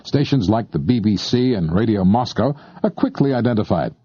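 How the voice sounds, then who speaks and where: A man's voice: lowish voice, animated, moderate pace, warm tone, slightly rough, no echo, lo-fi sound. A man giving scripted explanatory narration in a conversational way, speaking straight to the listener. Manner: conversational, addressing the listener directly. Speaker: narrator of an instructional tape on shortwave listening.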